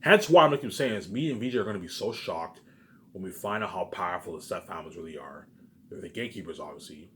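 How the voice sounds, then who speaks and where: Fast-talking man in an emphatic, repetitive ramble. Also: lively intonation, slight background noise. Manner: repetitive, circling back to same phrases; emphatic, swinging between loud bursts and low muttered asides. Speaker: online video maker. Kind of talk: rambling unscripted monologue to viewers.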